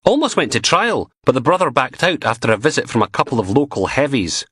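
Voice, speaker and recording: A man's voice: medium pitch, very animated, a little fast, pushed and bright, breathy edge, dry recording, clean studio sound, pitched above the performer's own voice, exaggerated voice. A man voicing an eager young male police constable who reports in a chatty way.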